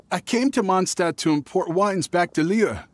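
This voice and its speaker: A shifty young male merchant in a video game. His delivery swings widely in pitch, hesitant and evasive under a smooth front, with faint noise behind. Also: big pitch swings, takes it slowly, exaggerated voice. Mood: uneasy, evasive. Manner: hesitant, stammering, falsely smooth. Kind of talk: video game character acting.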